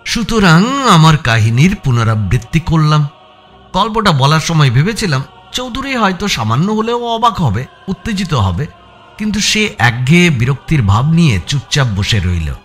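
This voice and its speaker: Animated male thriller narrator, highish voice. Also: normal speed. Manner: expressive, suspenseful storytelling. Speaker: narrator of an audio story. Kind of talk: dramatic first-person scripted narration. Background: background music.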